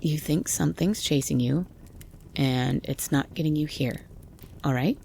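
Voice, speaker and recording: Gruff, creaky-voiced woman of the woods, acted. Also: low-pitched, unhurried, dark tone, a little hiss or hum. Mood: grudgingly apologetic. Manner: blunt, grumpy, foul-mouthed. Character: gruff, wary armed woman living in a forest cabin. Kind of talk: horror audio roleplay, ASMR.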